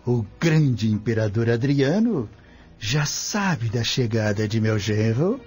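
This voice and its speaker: Man acting a slow, suspicious older Roman nobleman. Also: medium pitch, animated, a little mellow. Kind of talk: radio drama acting. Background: music.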